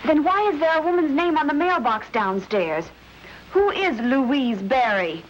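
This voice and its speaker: Persistent amateur-detective wife in vintage radio mystery. High voice, hesitant courtesy, muffled, slightly noisy sound. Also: female voice, big pitch swings, unhurried. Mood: challenging. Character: nervous but persistent amateur sleuth, a wife. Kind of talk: 1940s-50s radio mystery acting. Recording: dull, noisy old radio recording.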